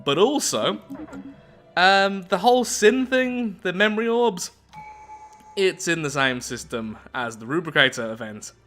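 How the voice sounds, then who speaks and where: A man's voice: sits high, animated, unhurried, fairly bright tone. A man hosting a strategy game roleplay, chatting casually and poking fun at himself as he goes. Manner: chatty, self-deprecating. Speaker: gaming video host. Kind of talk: casual game commentary with read-aloud storytelling. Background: faint music.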